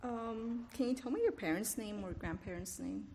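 A woman conducting an oral history interview, asking gentle questions that she often restarts with "um.". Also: on the high side, moderate pace. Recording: reverberant room, faint noise.

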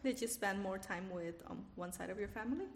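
A woman conducting an oral history interview, asking gentle questions that she often restarts with "um.". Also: medium pitch, fairly quick, gravelly. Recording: reverberant room, faint noise.

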